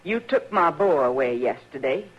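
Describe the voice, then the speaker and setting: A woman's voice: low-pitched, expressive, normal speed. A woman playing a bitter, grieving frontier mother in an old radio western, plain-spoken, with a rural Western American accent. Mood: anguished pleading. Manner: plain-spoken, folksy, unyielding. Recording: muffled, hissy old radio recording.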